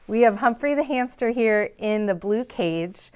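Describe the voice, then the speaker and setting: A woman's voice: a little high, animated, a little slow, clear, resonant tone. A woman hosting a story time in a library, reading a picture book aloud to children with dramatic emphasis on key words. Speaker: read-aloud host in a library.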